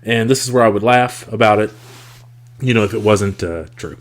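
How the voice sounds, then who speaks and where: A man hosting a podcast, talking casually and thoughtfully with a rough, congested, scratchy voice from a cold. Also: lowish voice, moderate pace. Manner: reflective, thoughtful, with frequent fillers. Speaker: podcast host. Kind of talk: casual solo podcast talk.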